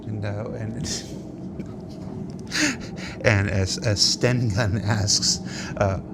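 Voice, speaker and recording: A man's voice: lowish voice, extremely slow. A man hosting a casual panel chat and reading viewer questions in a wry, conversational way. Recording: loud ambient noise behind voice.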